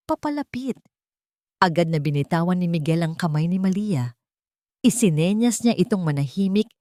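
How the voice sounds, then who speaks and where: A woman's voice: low-pitched, darkish tone, dry recording, studio quality. A woman with a Filipino accent narrating a scripted drama in a suspenseful, theatrical way. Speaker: story narrator.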